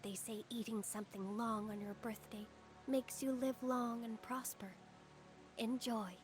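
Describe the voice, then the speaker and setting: A woman voicing an earnest young female swordswoman in a game, soft-spoken and sincere. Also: a little high, flat delivery, a little slow, soft background music, lightly acted. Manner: earnest and soft-spoken, proud battle cries. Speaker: game character. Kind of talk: game character voice lines.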